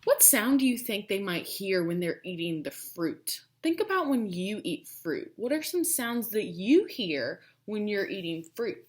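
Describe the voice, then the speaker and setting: A woman teacher reads a picture book to small children. Her pitch rises and falls a great deal and her pace is unhurried. She is emphatic and often thinks aloud between phrases. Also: big pitch swings. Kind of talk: children's storybook read-aloud with questions.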